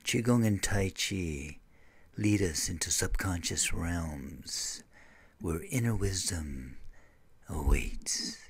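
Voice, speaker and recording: Male narrator, deep and slow, meditative poetic script, hushed delivery. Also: animated.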